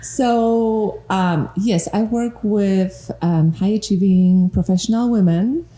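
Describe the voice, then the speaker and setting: Mellow-voiced woman from Poland, roomy sound. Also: medium pitch, slow pace. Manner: warm, earnest, laughs often. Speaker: guest relationship coach. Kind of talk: casual podcast conversation. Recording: echoey room.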